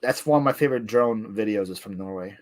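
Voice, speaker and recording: A man's voice: on the low side, fast talker. A man from Ukraine with a slight Ukrainian and northeastern American accent, chatting casually as a podcast guest, laughing often and repeating his words. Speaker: guest reseller.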